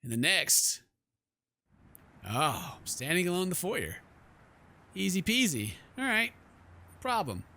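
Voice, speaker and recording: Higher-pitched male streamer reading game narration. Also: sing-song, measured pace, pauses often, sharp tone. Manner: jokey asides, playful. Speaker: gaming streamer. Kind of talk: game playthrough, reading narration aloud with casual commentary. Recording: game music behind the voice.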